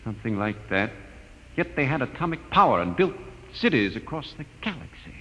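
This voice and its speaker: An actor playing an earnest, wondering scientist aboard a spaceship in an old science fiction radio drama. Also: male voice, medium pitch, sing-song, a little fast, bright, forceful tone. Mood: awed fascination. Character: earnest, awestruck male scientist, spaceship's technical expert. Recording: echoing, dull old radio recording.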